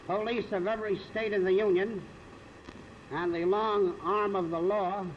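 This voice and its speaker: Muffled 1930s newsreel sound with faint noise, and an American man speaking slowly. His delivery is formal, deliberate and declamatory, as in a prepared public statement. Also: high-pitched, steady tone.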